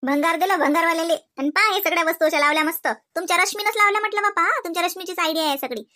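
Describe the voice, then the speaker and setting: A woman's voice: very high-pitched, very fast, pushed and bright. A woman voicing fussy, playful housewives in a Marathi comedy cartoon, with a Varhadi accent and exclamatory, chatty delivery. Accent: Varhadi Marathi from Vidarbha.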